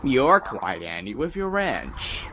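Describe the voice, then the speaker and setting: A man voicing a small, polite robot who speaks in a formal, measured and precise way. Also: mid-range voice, very animated, heavy background noise.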